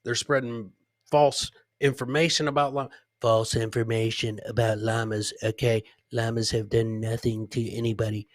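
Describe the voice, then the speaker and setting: A man hosting a casual, boisterous comedy show with loud, joking banter. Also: mid-range voice, little pitch movement, normal speed, no room sound, studio quality. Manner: profane. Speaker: podcast host.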